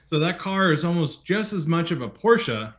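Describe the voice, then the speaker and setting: A man hosting a casual car conversation, relaxed and rambling, with hesitant restarts. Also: a little high, moderate pace. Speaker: host.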